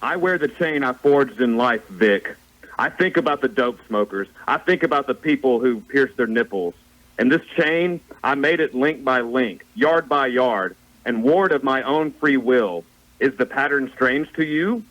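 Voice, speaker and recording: A man's voice: medium pitch, lively intonation, a little slow, fairly bright tone, rough recording. A man playing the mournful ghost of a dead business partner in a radio play, solemn and declamatory. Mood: anguished, solemn. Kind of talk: theatrical radio play acting.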